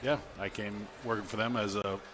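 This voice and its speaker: A man's voice: on the low side, fairly even intonation, normal speed. A man from Massachusetts chatting as an interview guest, wry and self-deprecating. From South Boston, Massachusetts. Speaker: guest, timber frame carpenter and salesman. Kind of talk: casual interview chat. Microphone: broadcast microphone. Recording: noisy live event background.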